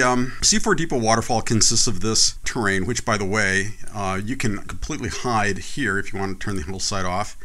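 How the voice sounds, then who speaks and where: A man hosting a casual software tutorial, talking through a screen recording in a relaxed, chatty way with many fillers. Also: on the low side. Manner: relaxed, chatty, frequent "uh" and "you know".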